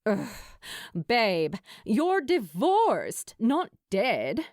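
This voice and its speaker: A woman voicing a blunt, teasing female best friend in an audiobook, with crude, exasperated, drawn-out emphasis. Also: on the high side, wildly swooping pitch, darkish tone, dry recording, clean studio sound, heavily acted.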